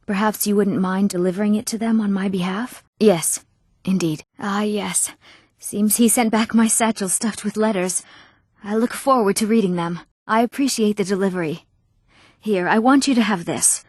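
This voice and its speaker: Fantasy game role: earnest, eager young townswoman voiced by a woman, medium pitch, clearly enunciated, dry studio sound. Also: darkish tone, no room sound, natural voice. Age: young adult. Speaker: video game voice actor. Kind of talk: scripted fantasy game character dialogue.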